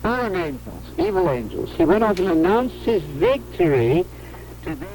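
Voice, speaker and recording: A man's voice: sits high, sing-song, normal speed, a little mellow, low-quality recording. A man preaching a sermon, heard through a shortwave radio broadcast.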